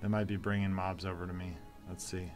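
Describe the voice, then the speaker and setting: A man's voice: low-pitched, little pitch movement, a little fast, loud music behind the voice. A man in his thirties hosting a gaming channel, chatting playfully while he plays. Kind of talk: casual gameplay commentary. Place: home recording room.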